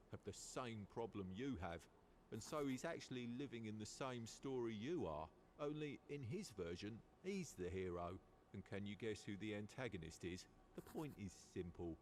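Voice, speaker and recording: Game guide creature: smug, evasive, theatrical explainer, lowish voice, faint background noise. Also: male voice, darkish tone. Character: sly, chatty male guide with hooves, smugly evasive. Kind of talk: video game voice acting.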